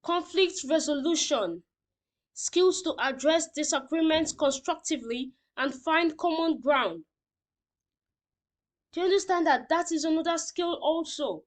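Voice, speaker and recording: A woman's voice: high voice, expressive, measured pace. A woman teacher reading out lesson points and explaining them simply, often checking that learners understand.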